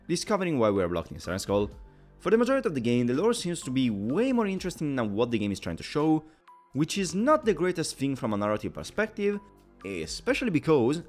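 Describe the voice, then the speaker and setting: A man's voice: fairly high pitch, darkish tone. A man narrating a scripted video game review in an emphatic, opinionated way.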